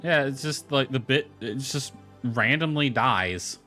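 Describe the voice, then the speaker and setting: A man's voice: medium pitch, measured pace, bright, forceful tone. Male game streamer over background music. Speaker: video game livestreamer. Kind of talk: casual livestream talk, reading game text aloud. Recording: music playing behind the voice.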